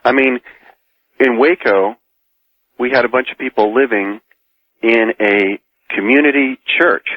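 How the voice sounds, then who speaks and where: A man with an American accent argues as a caller on a radio show, pressing with pointed questions. His mid-pitched voice comes dry and slightly muffled over the telephone. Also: a little slow, long pauses, dry recording. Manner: argumentative, probing with pointed questions. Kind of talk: live phone-in debate. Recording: muffled phone call over old radio.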